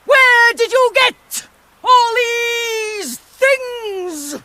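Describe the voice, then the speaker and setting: A woman's voice: very high voice, extremely slow, pushed and bright, exaggerated voice. A woman playing a cruel, imperious witch queen in a radio drama, with a British accent and a haughty, commanding, theatrical manner. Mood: enraged. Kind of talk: dramatic radio play acting.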